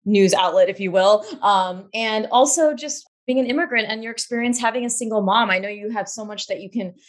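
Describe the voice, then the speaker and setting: A woman's voice: mid-range voice, fairly quick. Enthusiastic woman hosting a podcast. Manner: enthusiastic, effusive. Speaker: podcast host. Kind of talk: conversational interview.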